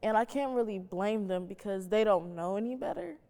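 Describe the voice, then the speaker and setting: A young woman giving a thoughtful, measured answer as a student panelist. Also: medium pitch, mellow. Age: young adult. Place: stage in a reverberant hall. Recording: echoey hall with long reverb.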